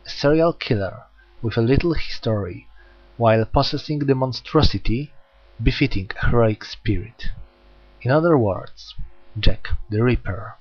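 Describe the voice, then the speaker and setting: A man's voice: mid-range voice, dull audio. A man reading a light novel aloud as an amateur audiobook narrator.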